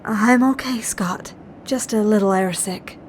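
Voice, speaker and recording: A woman playing a troubled, gentle young telepath in an audio drama, speaking earnestly and introspectively. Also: mid-range voice, a little slow, a little hiss or hum, close to an everyday voice. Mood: hesitant, shaken.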